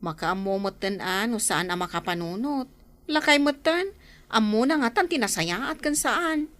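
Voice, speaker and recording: A woman's voice: on the high side, lively intonation, measured pace, fairly bright tone. A woman with a Filipino accent playing an exasperated, scolding middle-aged aunt in a radio drama, complaining emphatically. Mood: resigned, scolding.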